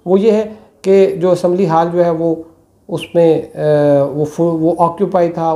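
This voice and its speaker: A man, giving political commentary to camera in a small room on a lapel mic, measured and full of hesitations. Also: fairly high pitch, warm tone. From Pakistan. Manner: measured, many hesitations and fillers. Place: small indoor room with plain walls.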